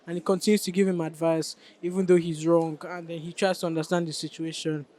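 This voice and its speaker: A man's voice: fairly high pitch, little pitch movement, fast, mellow. A young man with a Nigerian accent explaining his acting role in an interview. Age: teenager or young adult. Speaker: student actor in a school play.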